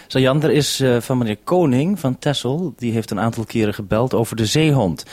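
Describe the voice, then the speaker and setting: A man's voice: medium pitch, a little fast, darkish tone, dry recording. A man interviewing informally as a radio programme maker, explaining and asking questions in unscripted talk. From Netherlands.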